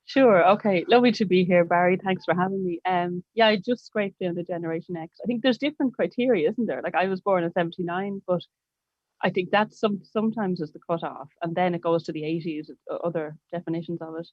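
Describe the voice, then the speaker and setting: A woman's voice: lowish voice, steady tone, fairly quick, dry recording, dull audio. A woman in her forties with a Dublin accent, a writer chatting in an interview, self-deprecating with frequent restarts. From Ireland. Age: 40s. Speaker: guest writer. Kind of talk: casual conversational interview.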